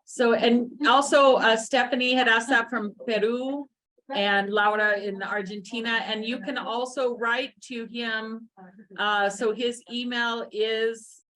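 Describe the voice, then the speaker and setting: Woman hosting a talk, slow and kinda halting, informal, not scripted. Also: fairly high pitch, steady tone, fairly bright tone, rough recording. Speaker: event host and moderator. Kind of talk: informal spoken hosting of a presentation.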